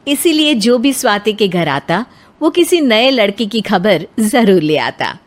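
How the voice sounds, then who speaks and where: A woman's voice: mid-range voice, sing-song, unhurried. A woman reading scripted narration for a moral story.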